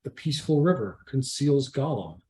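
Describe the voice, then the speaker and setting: A man's voice: medium pitch, expressive, normal speed, mellow, natural voice. Man playing a stern, dramatic ranger leader. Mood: grave. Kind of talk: table read of a fantasy script.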